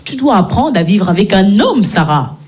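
A woman's voice: mid-range voice, very animated, moderate pace, a little mellow, lightly acted. A woman voicing a stern, stubborn father who lectures his daughter in solemn, authoritative pronouncements at a live comedy show. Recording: live stage with audience laughter.

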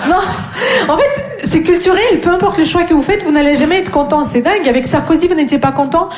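A young woman from Russia with a Russian accent, doing a stand-up set and teasing the audience deadpan. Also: very high-pitched, fast talker, background noise. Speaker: stand-up comedian. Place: comedy club stage. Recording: echoey club with crowd laughter. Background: audience laughter.

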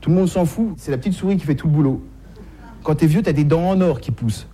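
A man's voice: fairly high pitch, fast talker, dark tone, breathy edge. A man doing a stand-up comedy monologue in slangy, streetwise, mock-naive style, repeating phrases as he goes, on a live stage recording.

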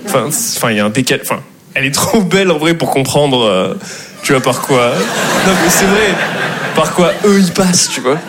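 A man's voice: fairly high pitch, animated, a little fast, very noisy. A man from southwest France doing a stand-up set, chatty and laughing as he acts out other people's voices. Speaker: stand-up comedian.

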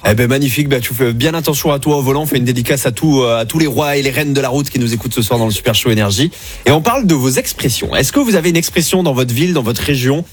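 A man from southwest France hosting a radio show with lively banter from a studio. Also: medium pitch, quick pace, slightly rough.

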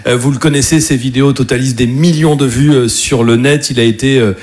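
A man's voice: medium pitch, steady tone, brisk, darkish tone. A man hosting a comedy club, introducing the next act in an announcer style with a few hesitations. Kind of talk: introducing acts.

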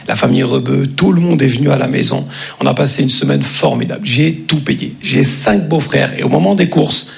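A man performing stand-up comedy, telling animated anecdotes and acting out the dialogue for an audience. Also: medium pitch, vocal fry. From France.

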